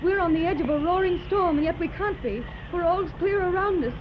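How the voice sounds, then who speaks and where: Anxious rich mother, high voice, muffled hissy old radio. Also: brisk. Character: anxious wealthy woman seeking her daughter. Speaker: wealthy mother searching for her daughter. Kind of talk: 1930s radio drama acting. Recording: muffled old radio transcription with loud hiss.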